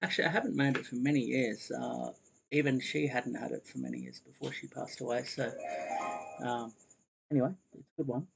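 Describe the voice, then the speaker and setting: A man's voice: medium pitch, fairly even intonation, fairly quick, gravelly, over music, rough recording. A man chatting casually to his viewers while he cooks on a live stream. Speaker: cooking livestreamer. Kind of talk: casual live cooking chat.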